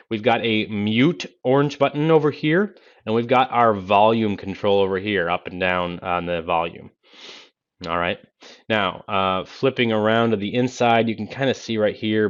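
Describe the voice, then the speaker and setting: A man, giving a casual product demo and explaining things step by step. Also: fairly low pitch. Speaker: presenter of product review videos. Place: small indoor office. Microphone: Bluetooth headset microphone. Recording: dull lo-fi headset sound.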